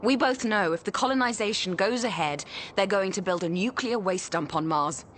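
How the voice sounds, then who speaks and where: An actress playing a hardened renegade space explorer in a radio drama, idealistic yet ruthless, speaking gravely and deliberately. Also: female voice, medium pitch, a bit bright, slight background noise, natural voice. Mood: bitter. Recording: music behind the voice.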